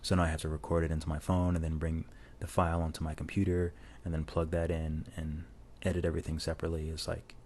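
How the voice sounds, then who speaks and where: A man narrating a drawing tutorial as a casual voice-over, talking in a relaxed, rambling way with many restarts, recorded on a lavalier mic plugged into a phone. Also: quick pace, few pauses. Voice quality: low voice.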